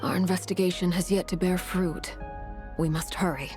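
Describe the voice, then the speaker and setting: A woman's voice: lowish voice, steady tone, moderate pace, over music, lightly acted. A woman voicing a determined traveler in a video game, speaking seriously and directly with some urgency.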